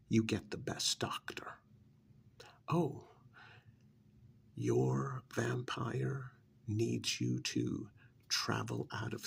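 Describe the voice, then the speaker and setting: A man acts out a vampire's familiar turned smug recruiter in an ASMR role-play. The voice is heavily creaky and very husky, often sinking to a whisper. He coaxes slowly and sardonically, stretching key words as he sells the life of servitude. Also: full character voice. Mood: persuasive. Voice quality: breathy, gravelly, often whispered. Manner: coaxing, sardonic sales pitch with drawn-out emphasis.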